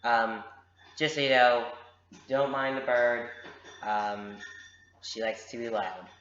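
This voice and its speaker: A man's voice: medium pitch, fairly even intonation, takes it slowly, a bit bright, lo-fi sound, slight background noise. Young guy doing a q&a vlog, blunt and chatty, echoey room, bird faintly behind. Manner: frank, direct, many fillers. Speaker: vlogger answering viewer questions. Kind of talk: casual Q&A vlog. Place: home room with a pet bird. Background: bird noises.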